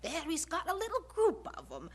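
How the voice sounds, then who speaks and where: A woman playing an excitable, gossipy working-class townswoman in an audio drama, exclaiming in folksy disbelief. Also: very high-pitched, normal speed, full character voice. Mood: scandalized amazement.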